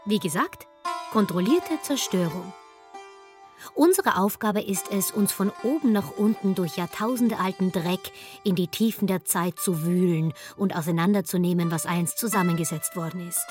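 A woman playing a brisk archaeologist who narrates history in a chatty, digressive, dryly humorous way for a radio play. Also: on the low side, faint music behind, lightly acted. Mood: matter-of-fact.

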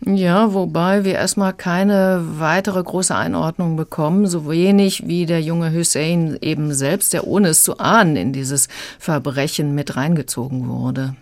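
A woman with a very low pitch talks through a book as a radio critic. She explains as she goes, in a dry studio sound. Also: measured pace, hardly any pauses, dry recording. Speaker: book reviewer.

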